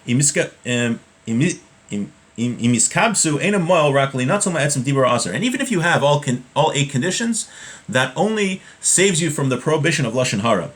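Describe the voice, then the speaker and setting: A man's voice: fairly high pitch, very animated, fairly quick. A man in his thirties giving an informal religious lesson, explaining conversationally and repeating key points, recorded at a desk in a small room at home. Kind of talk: informal religious lesson, reading Hebrew text and explaining it in English.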